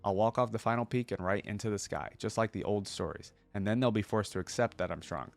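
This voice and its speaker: A man's voice: lowish voice, fast, gravelly. A man voicing a proud, wounded young warrior girl in a storybook reading, earnest and defiant.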